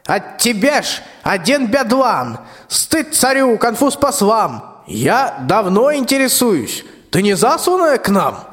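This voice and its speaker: A very high-pitched, pompous tsar, acted by a man holds forth in rhymed folk speech. He is bossy and wheedling, with swooping, unhurried delivery in a radio fairy tale. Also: big pitch swings, fairly bright tone, husky, no echo, studio quality, heavily acted. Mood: suspicious, threatening. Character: blustering lovestruck old tsar, bossy and wheedling. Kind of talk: rhymed folk-tale radio play, amateur school production.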